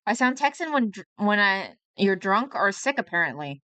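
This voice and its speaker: A woman's voice: mid-range voice, sing-song, normal speed, pushed and bright, no room sound. A young woman streaming live, chatting casually about herself and reacting to a video, often laughing and poking fun at herself.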